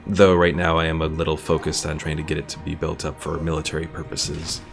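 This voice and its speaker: A man's voice: very deep voice, flat delivery, a little fast, creaky. A man giving casual game commentary as a streamer, thinking aloud and reading game text out. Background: game music.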